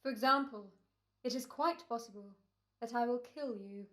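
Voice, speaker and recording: A woman playing a quietly menacing gentleman murderer in a 1940s-style radio thriller, formal and deliberate in short phrases. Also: fairly high pitch, lightly acted. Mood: cold menace.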